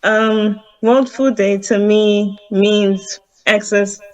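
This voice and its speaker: A woman's voice: mid-range voice, fairly even intonation, drawn-out words, rough recording. Earnest woman from Zimbabwe, vegan chef. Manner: earnest, explains step by step with many ums. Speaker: vegan chef, interview guest. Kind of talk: remote interview answers. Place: home in Harare.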